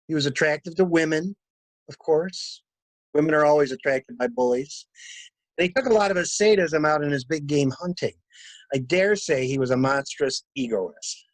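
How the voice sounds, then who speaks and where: A man's voice: a little high, no room sound, rough recording. A man playing a shrewd, blunt stranger who questions people like a detective in a dramatic mystery reading. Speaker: amateur reader, library staff.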